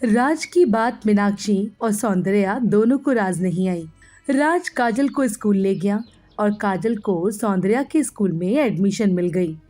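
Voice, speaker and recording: A woman's voice: medium pitch, lively intonation, measured pace, mellow, soft background music. A woman reading scripted narration as the storyteller of a moral tale.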